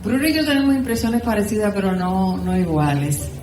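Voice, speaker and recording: Woman, mid-pitched, informal reader's reflection, noisy echoing room. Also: big pitch swings, fairly quick, a little mellow. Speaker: book club participant. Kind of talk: informal spoken reflection at a literary gathering. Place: echoey room at a live group gathering. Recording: echoey room, clear background noise.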